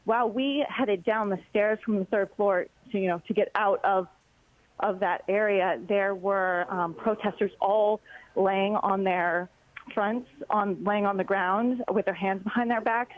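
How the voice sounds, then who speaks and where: A woman's voice: medium pitch, steady tone, measured pace. Woman reporting over a muffled phone line. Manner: hesitant, many filler words, recounting events. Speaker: congressional reporter. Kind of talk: live phone report on breaking news.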